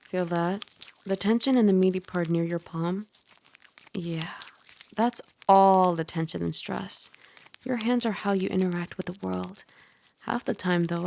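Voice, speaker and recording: A woman voicing a caring young dragon roommate in a soft ASMR roleplay, gently bossy and teasing as she gives close-mic instructions. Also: on the low side, gravelly. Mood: soothing. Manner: gently bossy, teasing, puzzled by human ways. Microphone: close ASMR microphone.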